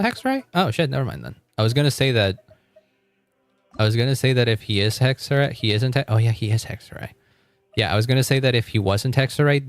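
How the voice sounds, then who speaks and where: A man's voice: medium pitch, no room sound. A man live-streaming a game, chatting casually and thinking aloud in an informal way, with casual swearing. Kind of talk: casual live-stream chat while gaming.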